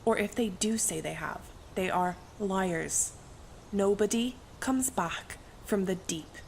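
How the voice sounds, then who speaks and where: A woman's voice: mid-range voice, slow, vocal fry, slight background noise. A woman playing a bitter, sceptical woman of mining country in a radio play, dry and scornful in manner.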